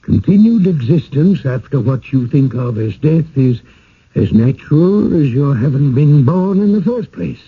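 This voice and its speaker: An actor playing a polite, fretful, gently persuasive village host in a radio drama, with courteous old-fashioned phrasing. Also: male voice, on the high side, expressive, measured pace, breathy edge, exaggerated voice. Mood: gentle, explaining. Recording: muffled, phone-like old radio recording.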